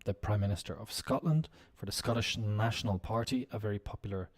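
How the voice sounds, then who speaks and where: A man teaching business English on a live stream, explaining slowly with many "um"s and "uh"s. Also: lowish voice. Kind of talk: live-stream language lesson.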